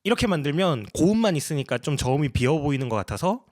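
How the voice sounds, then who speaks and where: Lively-pitched man explaining his process. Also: fast talker, noisy background. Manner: polite, step-by-step explaining. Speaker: sound designer presenting a tutorial. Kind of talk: casual explanatory tutorial narration.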